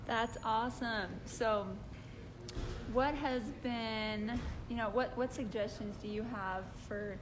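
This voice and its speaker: A woman's voice: a little high, fairly even intonation, takes it slowly, background noise. A young woman hosting a casual live-stream interview, talking in an upbeat, chatty way with fillers. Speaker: host interviewer.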